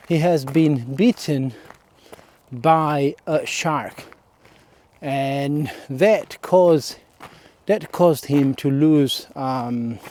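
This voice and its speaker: Italian-accented man, solo vlog, hesitant with fillers, slow unhurried delivery, warm mellow tone. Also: fairly high pitch. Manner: hesitant, many fillers and "okay?" checks. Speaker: vlogger.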